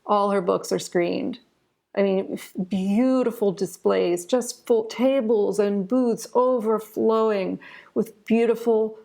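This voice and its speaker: A woman's voice: medium pitch, vocal fry, a little mellow. A woman speaking as a guest in a conversational interview, earnest and emphatic, often repeating words for stress.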